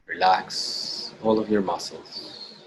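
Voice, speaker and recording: A man leading a guided meditation in a slow, deliberate, gentle instructional way, pausing between short phrases. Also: deep voice, fairly even intonation. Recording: clearly audible background noise.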